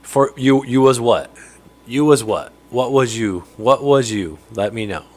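A man's voice: medium pitch, animated, a little slow. A man in his thirties with a nasal voice, getting over a cold, hosting a casual livestream and greeting viewers by name from his home fishroom. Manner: chatty, greets viewers by name, laughs often. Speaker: livestream host. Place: home fishroom with aquariums, indoors. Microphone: studio mic on stand close in front.

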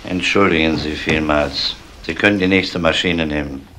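An actor playing a courteous, matter-of-fact police investigator questioning people in a crime radio play. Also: male voice, extremely low pitch, normal speed, sharp tone, slight background noise. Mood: courteous, inquiring. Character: polite, probing male police investigator.

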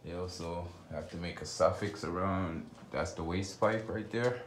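A man, walking through his unfinished basement with a phone and casually narrating his renovation work in a relaxed, rambling way. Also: low voice, moderate pace, slight background noise. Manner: relaxed, rambling, informal speech. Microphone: phone camera held while walking.

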